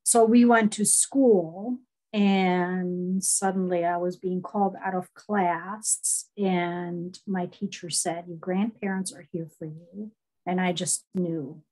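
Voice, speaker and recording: A middle-aged woman, speaking as an interview guest over a headset on a video call, telling her story warmly with many fillers. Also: lowish voice, steady tone, takes it slowly, low-quality recording. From East Germany. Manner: warm storytelling, frequent laughs and fillers. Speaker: guest, horse body-work therapist.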